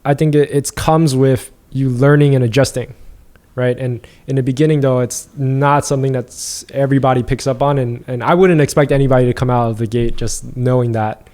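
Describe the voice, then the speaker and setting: Easygoing male guest, mellow voice, thinks aloud with many fillers. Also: mid-range voice, brisk. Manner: relaxed, reflective, many fillers like "like" and "um". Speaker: podcast guest, entrepreneur. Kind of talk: casual podcast conversation.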